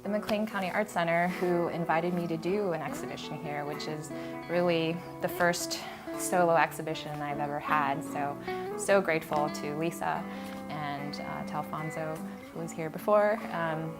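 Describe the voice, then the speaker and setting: An American-born woman artist talking thoughtfully about her installation in a gallery, with many ums and pauses. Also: sits low, over loud music. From United States. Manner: hesitant, many ums and uhs, reflective. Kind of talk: artist talk at an exhibition. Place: art gallery.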